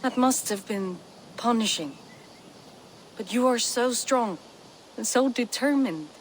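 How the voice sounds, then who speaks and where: An actress playing a gentle, doubtful young Viking apprentice in a video game cutscene, with a soft, halting, earnest delivery. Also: female voice, on the high side, lively intonation, a little hiss or hum, low-quality recording. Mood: subdued, grateful.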